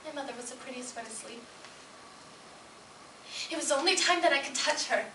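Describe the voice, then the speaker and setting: A woman performing a dramatic stage monologue as a scarred, disturbed daughter yearning for her mother, slow and hushed with sudden swells. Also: sits high, natural voice. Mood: chilling, mournful. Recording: distant, echoey room with faint noise.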